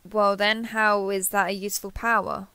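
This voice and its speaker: A woman's voice: mid-range voice, little pitch movement, normal speed, sharp tone, smooth, no room sound. A woman playing a kindhearted, awkward, easily flustered human in an intimate roleplay audio, hesitant and stammering as she asks curious questions. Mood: curious, overwhelmed. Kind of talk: intimate roleplay audio acting, one side of a conversation.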